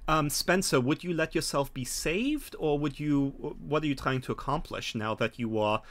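A man's voice: fairly high pitch, expressive, moderate pace, fairly bright tone. A middle-aged man narrating as game master, explaining things in hesitant phrases. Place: indoor home study, video call.

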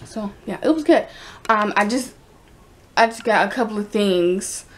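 A young American woman talks casually to her camera at arm's length in a room at home. She rambles and restarts in an informal, halting way, with a medium-pitched voice. There is some noise in the handheld recording. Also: lively intonation. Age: teens to young adult. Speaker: vlogger.

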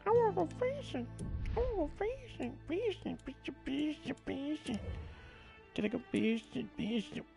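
A man's voice: extremely high pitch, lively intonation, normal speed, vocal fry, mellow. A man giving casual, sweary video game commentary, often playfully repeating himself in a sing-song way. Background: video game music and sounds.

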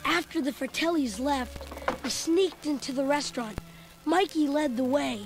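A woman's voice: high-pitched, normal speed, low-quality recording. A woman voicing a chubby, food-loving boy who narrates a storybook record with chatty, comic asides. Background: music.

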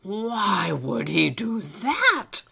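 A woman voicing a jolly, kindly old male elf in a children's story, with a hearty, drawn-out delivery. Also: mid-range voice, sing-song, creaky, crowd noise, full character voice. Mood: gently questioning.

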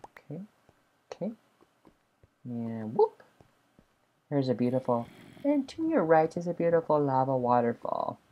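A chatty, excitable gameplay commentator who talks to the viewers and to itself at the same time. The voice has a gravelly, slightly husky grain. The pitch rises and falls freely, with a lot of movement as it narrates. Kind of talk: casual let's play commentary.

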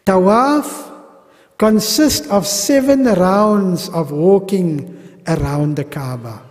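A middle-aged South African man teaching a pilgrimage class at a mosque podium, animated and gesturing, with humorous asides. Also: high voice, slow. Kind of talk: religious class lecture. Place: large mosque hall. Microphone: gooseneck podium mic. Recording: long reverb of a mosque hall.

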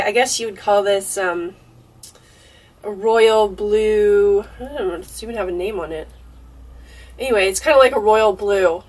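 A young woman chatting excitedly through a DIY project inside an RV, full of fillers, recorded on a camera mic across the table. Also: on the high side, distant mic. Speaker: RV DIY vlogger. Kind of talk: casual DIY narration.

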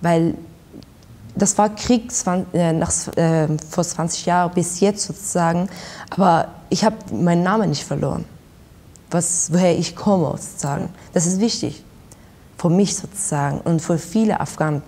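A young woman from Iran, a guest in a studio interview, speaking haltingly and searching for her words. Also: fairly low pitch, dark tone. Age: young adult. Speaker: guest, activist. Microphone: lapel.

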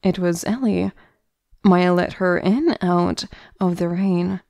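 A woman's voice: lowish voice, slow, creaky, darkish tone, no room sound. A woman reading scripted narration for an audio drama.

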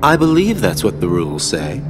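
A man's voice: on the low side, huge pitch swings, normal speed. A man playing a sly young schemer in a game cutscene, wry, casual and flippant. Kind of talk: video game cutscene acting. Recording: music under the voice.

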